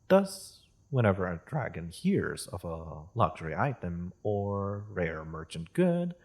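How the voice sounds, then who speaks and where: A worldly, courteous half-dragon merchant acted by a man in a fantasy audio roleplay. The voice is deep and slightly husky, unhurried yet expressive in its rise and fall. The phrasing is formal and polite, with wry amusement. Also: full character voice. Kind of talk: scripted fantasy audio roleplay addressed to listener.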